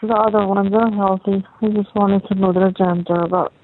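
A woman's voice: medium pitch, steady tone, moderate pace. Rambling young woman on tinny phone. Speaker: caller talking with partner. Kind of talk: casual phone chat. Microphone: telephone call. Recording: tinny phone line with faint noise.

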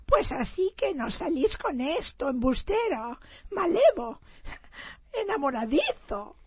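Woman acting high-pitched, indignant immigrant tenement woman. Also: big pitch swings, takes it slowly, no echo, muffled recording, heavily acted. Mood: angry. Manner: scolding, exclamatory. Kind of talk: comic stage radio play acting.

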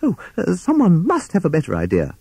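A man's voice: high-pitched, huge pitch swings, fairly quick, dark tone, slightly rough, dry recording, studio quality, voice pushed up, heavily acted. A man voicing a timid, cowardly toad, nervous and stammering, in a children's animal story. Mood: anxious, pleading. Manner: stammering, hesitant, anxious.

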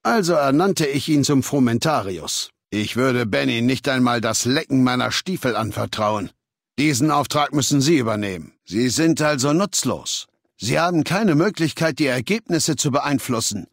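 A cold haughty warlord dictator for a game, lectures and gives orders, middling pitch, very dry recording. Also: male voice, lively intonation, normal speed, dry recording. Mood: haughty, authoritative. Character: imperious, cold warlord dictator of a slaver legion. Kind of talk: video game character dialogue.

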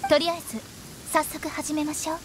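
A woman's voice: high-pitched, flat delivery, a little fast, a little hiss or hum, natural voice. A woman playing a cheeky, theatrical young woman who loves pranks, in anime game style. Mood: confident. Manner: playful, theatrical, teasing.

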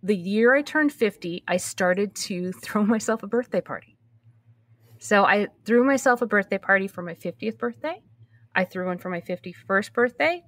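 A woman's voice: medium pitch, animated, moderate pace, dry recording. A woman in her early fifties speaking candidly and reflectively in a conversational interview, repeating phrases for emphasis. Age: early 50s. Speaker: podcast host being interviewed.

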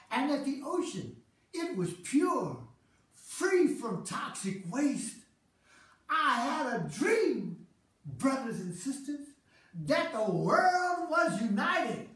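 A woman voicing a hearty, dreamy Rasta man who preaches peace in a slow, loud, drawn-out way on an outdoor festival stage. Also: on the high side. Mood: visionary. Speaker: festival performer. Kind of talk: comic stage monologue, visionary speech. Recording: echoey stage sound from a distant mic.

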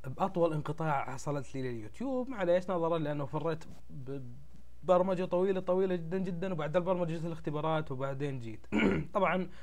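A man's voice: a little high, quick pace, darkish tone. A young man with a Gulf Arabic accent and a congested, tired throat, giving a casual explainer as an informal tech channel host. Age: young adult. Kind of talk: casual explainer talk to camera. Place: small indoor room at a desk, webcam recording.

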